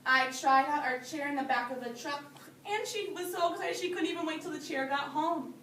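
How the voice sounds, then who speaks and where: A woman's voice: high-pitched, brisk, fairly bright tone. A woman teacher reading a storybook aloud to young children and talking it over, patiently and simply, repeating their answers back. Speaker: preschool or early grade teacher. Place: indoor room with young children. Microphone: phone or camera at a distance. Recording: roomy, distant phone video with noise.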